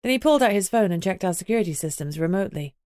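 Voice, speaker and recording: A woman's voice: lowish voice, animated, very fast, darkish tone, no echo, clean studio sound. A woman reading scripted first-person audiobook narration.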